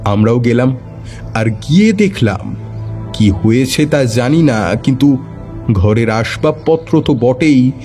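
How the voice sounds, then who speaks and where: A man narrating a detective story with expressive, suspenseful delivery. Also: medium pitch, slow, faint music behind.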